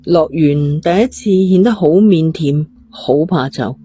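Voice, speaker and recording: A woman's voice: low-pitched, a little slow, warm tone, slightly rough, no room sound. A woman with a Cantonese accent reading a suspense novel as a scripted, dramatic storyteller. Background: faint music.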